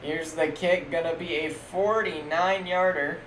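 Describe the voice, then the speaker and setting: A young man streaming casual, jokey play-by-play of a simulated football league, getting loud on big plays. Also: a little high, fairly even intonation, measured pace. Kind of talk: live-stream game commentary. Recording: echoey room with faint background noise.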